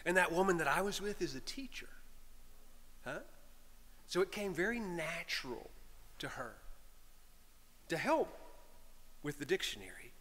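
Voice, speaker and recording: A middle-aged man, a priest, telling a story in a sermon from the pulpit, animated and shifting between loud lines and hushed whispers. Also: fairly high pitch, unhurried, long pauses. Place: large stone church, speaking from a pulpit. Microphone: pulpit microphone. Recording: long church reverb.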